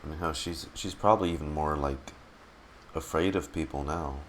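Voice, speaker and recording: A man streaming his casual reaction to a game, talking in a halting, exasperated way. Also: a bass voice, slight background noise. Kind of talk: casual live reaction commentary.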